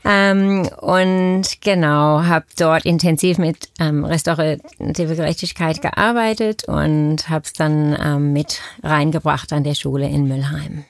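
A woman's voice: deep voice, fairly even intonation, slow, hardly any pauses, no echo. Hesitant woman with an Australian accent. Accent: Australian English. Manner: hesitant, many fillers, non-native grammar. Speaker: teacher. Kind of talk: casual talk show chat.

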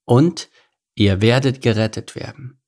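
A solemn lion monarch from a children's tale, voiced by a male reader. He speaks in grave, measured phrases meant to reassure, with a gravelly edge. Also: medium pitch, lightly acted. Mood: solemn, reassuring. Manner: grave, measured speech-making. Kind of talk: children's storybook character voice.

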